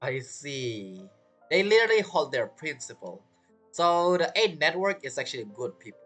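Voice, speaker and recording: Lively male game streamer. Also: a little high, normal speed. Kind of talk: casual game commentary. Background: game music.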